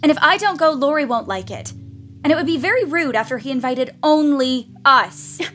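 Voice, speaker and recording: A woman's voice: sits high, animated, normal speed, a bit bright, soft background music. A woman acting a bossy, hot-tempered teenage older sister in an audio play, speaking in a blunt, scornful and vehement way. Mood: scornful, dismissive.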